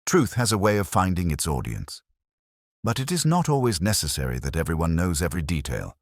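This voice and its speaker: A man voicing a calm, methodical police inspector in a mystery story, soft-spoken, formal and even. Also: deep voice, brisk, no room sound, studio quality. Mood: quietly grave. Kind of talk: storybook detective dialogue.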